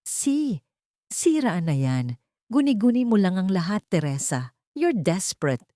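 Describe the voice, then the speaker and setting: A woman's voice: mid-range voice, sing-song, a little slow, warm tone, dry recording, studio quality. A woman voicing a scheming, venomous socialite villainess in an audio drama, mixing Tagalog with English. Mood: sneering. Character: scheming, venomous young socialite villainess, desperate when cornered.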